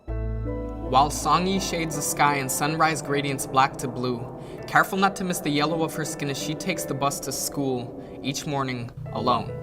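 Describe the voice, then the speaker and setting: A woman performing spoken-word poetry in a measured, lyrical storytelling manner. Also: deep voice. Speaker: slam poet. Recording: music clearly behind the voice.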